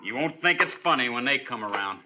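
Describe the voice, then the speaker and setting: A man's voice: medium pitch, expressive, brisk, highs cut off, background noise, close to an everyday voice. An actor playing a defiant young male outlaw prisoner, surly and taunting, in an old-time radio western. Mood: defiant, threatening.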